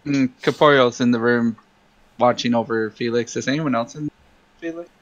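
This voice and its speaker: A man's voice: mid-range voice, moderate pace. A man playing a tabletop roleplaying game, casually describing what happens to his character. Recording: slightly muffled microphone.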